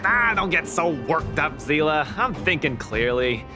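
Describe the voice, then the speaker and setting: Hot-blooded young male fighter, boastful banter, swooping lively intonation, video game voice, music underneath. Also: on the high side, measured pace. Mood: playful, reassuring.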